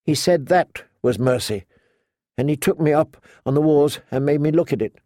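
A man voicing a timid, frightened young noble girl in an audiobook, halting and hushed. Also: fairly high pitch, no echo, clean studio sound, lightly acted. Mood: anguished.

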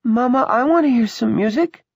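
A man's voice: extremely high pitch, normal speed, creaky, slightly rough, no room sound, dull audio, pitched above the performer's own voice, full character voice. A man voicing a small dead girl in an audiobook, eerie and childlike, drawing out words. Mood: pleading.

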